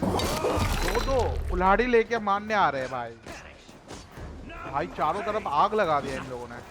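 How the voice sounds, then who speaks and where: A man's voice: sits high, animated, very fast, over loud music. A man giving brash, slangy, casual commentary as he plays a game. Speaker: gameplay commentator.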